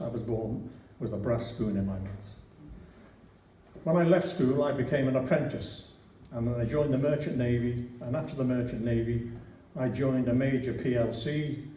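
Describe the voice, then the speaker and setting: An older man with a Liverpool accent speaks at a public hustings in a large church hall, talking in a chatty, anecdotal way with frequent restarts. His voice is very dark and mellow. He is picked up from a distance, and the hall echoes around him over a faint hiss. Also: mid-range voice. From Liverpool, England. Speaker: local council candidate. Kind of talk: hustings speech.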